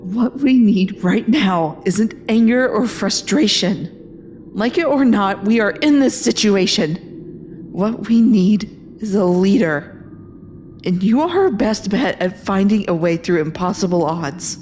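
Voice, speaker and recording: A woman's voice: medium pitch, lively intonation, unhurried, darkish tone, slight background noise, close to an everyday voice. A woman playing a tough, encouraging soldier in a sci-fi drama, speaking firmly and bluntly. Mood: firm, rallying. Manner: firm, blunt, emphatic. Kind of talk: sci-fi audio drama acting.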